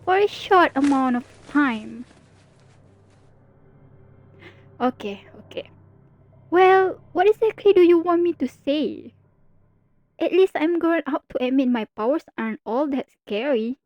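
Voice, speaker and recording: A woman's voice: high-pitched, lively intonation, unhurried, dry recording, dull audio. A woman playing a theatrical, playful supervillain in an ASMR roleplay, with a sarcastic, drawling, mock-dramatic delivery. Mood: mock-menacing. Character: teasing, theatrical female supervillain, playful and world-weary.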